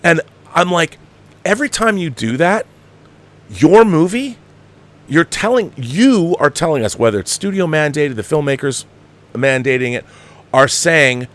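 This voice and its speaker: A man delivers opinionated film commentary in a conversational way. His pitch moves up and down widely as he hammers points home, restarting and repeating phrases with an exasperated, emphatic manner. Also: animated, a little slow, long pauses, fairly bright tone. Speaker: film review show host.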